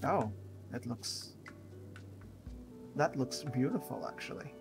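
A man's voice: medium pitch, expressive, very slow, creaky. A man giving casual let's play commentary in a chatty voice-over. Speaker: gamer commentating on playthrough. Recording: voice-over above game music. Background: game music.

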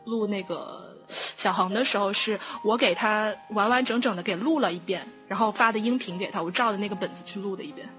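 A woman's voice: mid-range voice, a little fast, hardly any pauses, a bit bright. A woman chatting casually, rambling a little and correcting herself as she goes. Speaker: audio drama producer. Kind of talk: casual chat. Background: background music.

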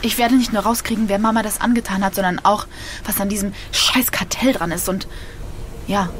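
A woman's voice: mid-range voice, fairly even intonation, fairly quick, slight background noise, close to an everyday voice. An actress playing a defiant, grieving young woman in an audio drama, blunt, sarcastic and slangy. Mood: angry, accusing. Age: young adult. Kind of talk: naturalistic German audio drama acting.

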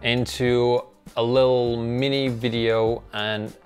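A man's voice: medium pitch, level tone, slow, clean tone, faint music behind. Young Russian-accented man vlogging. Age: young adult. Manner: upbeat, chuckling, self-mocking. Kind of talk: casual vlog narration. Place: small bedroom, indoors. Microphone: clip-on lapel mic.

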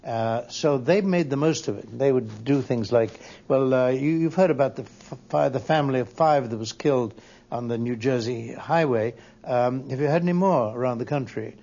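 A man telling anecdotes in an interview, haltingly searching for words. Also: medium pitch, creaky, mellow. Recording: dull, muffled audio.

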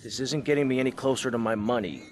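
An actor playing a curt, sardonic, impatient gangster in a video game, with an American accent. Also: male voice, medium pitch, quick pace, a little mellow, natural voice. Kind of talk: video game cutscene acting.

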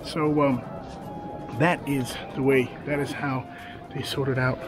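A man's voice: mid-range voice, level tone, slow. A man in his thirties casually narrating a travel vlog outdoors, speaking to a camera held at arm's length, chatty and hesitant with many fillers. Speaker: travel vlogger. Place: outdoors at desert ruins and rock canyon. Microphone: handheld camera at arm's length. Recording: music playing over it.

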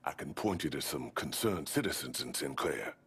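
An actor playing a measured, middle-aged male community organizer in a video game cutscene. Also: expressive, exaggerated voice. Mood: grave, measured. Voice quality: husky, low.

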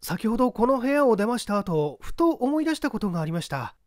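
A dutiful clerk, played by a male reader, testifies politely in a high, light voice. The earnest audiobook delivery swings in pitch and sounds close and dry. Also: big pitch swings, brisk, no room sound. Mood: careful, polite. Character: dutiful night-duty office clerk testifying plainly. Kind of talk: dramatic audiobook reading.

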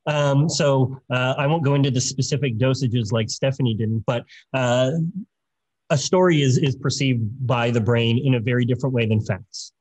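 Husky-voiced man on a panel. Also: mid-range voice, steady tone, normal speed, a little mellow, dry recording, rough recording. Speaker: storyteller, filmmaker panelist. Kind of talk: conversational panel talk with anecdote. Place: indoor room on a video call.

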